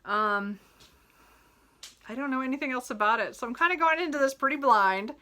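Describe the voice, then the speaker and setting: A woman's voice: high voice, moderate pace, pushed and bright. A woman chatting casually about her reading list as a book vlogger, rambling and giggly, often correcting herself and apologizing. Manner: giggles often while talking.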